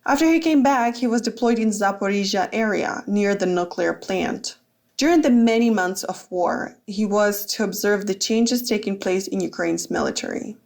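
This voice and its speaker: A woman's voice: medium pitch, normal speed, creaky, a little mellow. A woman reading scripted narration as the narrator of a radio documentary.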